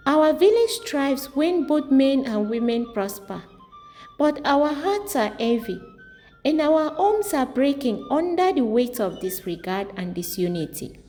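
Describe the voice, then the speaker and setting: A woman's voice: high-pitched, very animated, measured pace, warm tone, pitched above the performer's own voice. A woman playing a bold young village wife who speaks steadily and eloquently in a folktale. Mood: steady, earnest. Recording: long reverb, music underneath.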